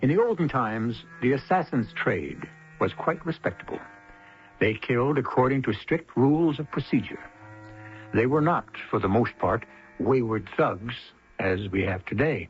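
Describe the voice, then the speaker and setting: A man's voice: mid-range voice, animated, moderate pace, faint music behind. A man hosting a radio drama with scripted narration in a wry, rhetorical, literary manner. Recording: dull old radio recording.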